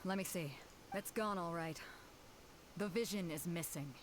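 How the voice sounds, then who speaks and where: A woman voicing a brisk young tournament announcer in a video game, with a theatrical delivery. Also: on the low side, noisy background, close to an everyday voice. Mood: worried, flustered. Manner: theatrical, proclamatory.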